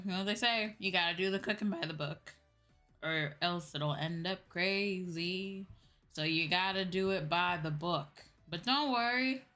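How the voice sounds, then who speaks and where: Low-pitched woman chatting over music. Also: fairly bright tone. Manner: conversational, repeats herself for emphasis. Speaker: streamer host. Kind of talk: casual livestream chat, giving advice. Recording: background music.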